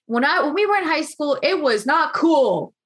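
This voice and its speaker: A woman in her late twenties hosts a casual interview over a video call. She talks in a slightly high voice, chatty and animated. She keeps acting out the lines of people in her stories. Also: normal speed. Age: 20s.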